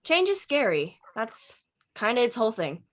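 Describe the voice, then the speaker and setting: A woman's voice: a little high, big pitch swings, normal speed. A woman reading an introspective, dryly witty teenage boy in conversational screenplay table read dialogue. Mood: wistful, dry.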